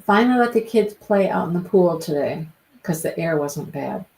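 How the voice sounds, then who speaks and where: A woman's voice: on the low side, lively intonation, moderate pace, vocal fry, darkish tone, rough recording. A woman hosting a live stream, chatting casually with viewers by name and repeating her phrases, her voice stuffy and congested. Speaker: livestream host.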